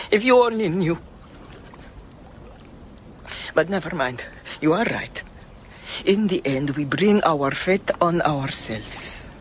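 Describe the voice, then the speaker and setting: A man acting in a radio drama as a guilty, fatalistic conspirator, world-weary and measured in delivery. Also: fairly high pitch, animated, a little hiss or hum. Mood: bitter, anguished.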